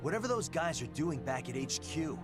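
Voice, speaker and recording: A man's voice: fairly high pitch, very animated, a little fast, loud music behind the voice. A man with an American accent playing a brash, blunt, griping young soldier in a game drama. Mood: griping, impatient. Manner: blunt, slangy. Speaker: game voice actor.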